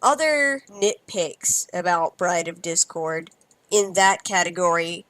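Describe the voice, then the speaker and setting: A woman's voice: on the low side, slow pace, fairly bright tone, no echo. A woman hosting a review, giving conversational, critical commentary. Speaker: reviewer and host. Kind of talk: semi-scripted review commentary.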